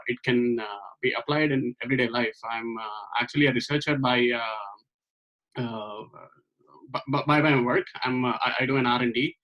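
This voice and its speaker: A young man from Hyderabad introducing himself as a student, earnest and hesitant with many pauses, speaking from his bedroom on a laptop call. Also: mid-range voice, a bit bright. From Hyderabad, India. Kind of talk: self-introduction on video call. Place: bedroom at home. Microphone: laptop webcam on video call. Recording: dull lo-fi call audio.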